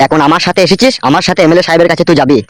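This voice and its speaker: A bullying cartoon henchman played by a man rattles off coarse warnings at great speed. His voice is slightly high and dryly recorded. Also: rapid-fire, no room sound, clean studio sound, natural voice. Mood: stern warning. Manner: foul-mouthed, warning, coarse. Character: gruff-talking, bullying male henchman of a local politician. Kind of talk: comic cartoon dub.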